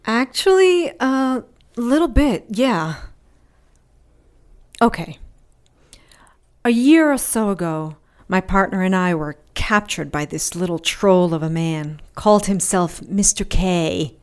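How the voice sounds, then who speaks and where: A tough female adventurer in an audio drama. She speaks at a middle pitch with a sardonic edge and spins her tale in plain, colloquial language, like someone battered but unbowed. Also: lively intonation, takes it slowly, clean tone. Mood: wry, pained. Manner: wry, sardonic, colloquial storytelling. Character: Carmen, tough wry wounded woman adventurer telling her tale. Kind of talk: audio drama acting, conversational storytelling.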